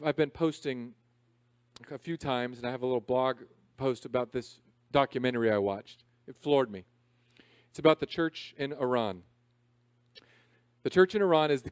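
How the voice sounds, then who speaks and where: A man in his thirties preaching a sermon in a conversational, self-deprecating way, swinging between loud emphasis and quiet asides. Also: medium pitch, normal speed, clean tone, no room sound, clean studio sound. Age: 30s. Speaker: pastor.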